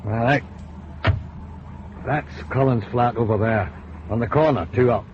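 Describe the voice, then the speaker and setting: An actor playing a hesitant detective reporting to his boss, halting and careful. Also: mid-range voice, close to an everyday voice. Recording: dull old radio broadcast with faint noise.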